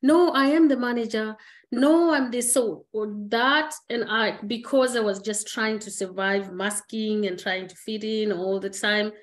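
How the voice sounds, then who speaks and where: A middle-aged woman from Zimbabwe speaking on a webinar call, reflective and earnest, pausing on fillers and restarting her sentences. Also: a little high. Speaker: guest speaker. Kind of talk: candid personal conversation. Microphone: webinar video call.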